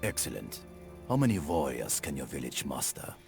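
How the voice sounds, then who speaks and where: An actor playing a ruthless, gloating Hessian colonel in a video game cutscene, gravelly, menacing and contemptuous. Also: male voice, rumbling low, big pitch swings, moderate pace, darkish tone, soft background music, full character voice. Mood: gloating menace.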